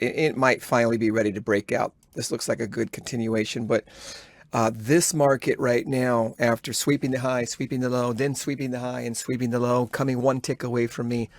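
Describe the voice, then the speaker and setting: A man giving live day-trading commentary, thinking aloud in a halting, instructive way as he talks to himself and viewers. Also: mid-range voice, dry recording. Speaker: day trader recording a trading journal.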